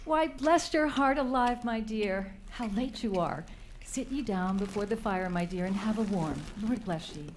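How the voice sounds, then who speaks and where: A woman playing a warm, fussing working-class Victorian mother in a live radio drama, using old-fashioned dialect. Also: medium pitch, unhurried, slight background noise, lightly acted. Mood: affectionate, fussing. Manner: homely, affectionate, old-fashioned dialect speech.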